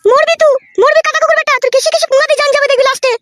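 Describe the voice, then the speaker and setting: A woman puts on a bossy old village mother for a comedy cartoon. The voice is extremely high and races along, brusque. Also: fairly even intonation, racing, a bit bright. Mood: scornful, scolding. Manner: scolding, brusque. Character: foul-mouthed, bossy elderly village wife and mother.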